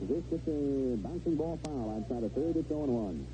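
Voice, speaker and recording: A man's voice: medium pitch, lively intonation, moderate pace. A man calling baseball play-by-play live on a noisy vintage seventies radio broadcast. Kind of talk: live sports commentary.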